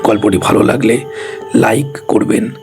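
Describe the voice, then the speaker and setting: A man's voice: on the low side, unhurried, creaky, over music. A man narrating a scripted horror story as a storyteller.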